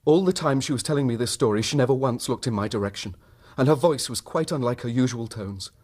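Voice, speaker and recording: An actor playing a troubled, suspicious husband in a radio drama, speaking in formal, precise phrases. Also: male voice, medium pitch, fast talker, darkish tone, natural voice. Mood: sombre, bitter. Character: troubled, suspicious husband recounting his wife's secret.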